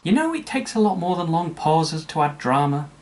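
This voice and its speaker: A man's voice: on the high side, big pitch swings, moderate pace, a little mellow. A man narrating a comedic scripted film review with sarcastic, mocking asides. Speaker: movie reviewer.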